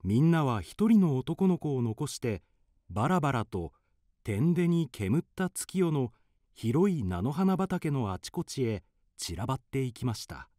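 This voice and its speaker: A man's voice: mid-range voice, very animated, measured pace, mellow, no echo, studio quality. A man narrating a children's radio drama in scripted storybook style.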